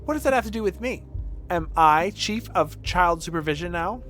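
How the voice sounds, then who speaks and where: A man's voice: sits high, lively intonation, moderate pace, fairly bright tone, faint music behind, pitched above the performer's own voice. A man voicing a guarded, prickly woman from a video game, snappish and taunting as he reads her dialogue. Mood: accusing. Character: guarded, prickly woman, mocking and candy-obsessed.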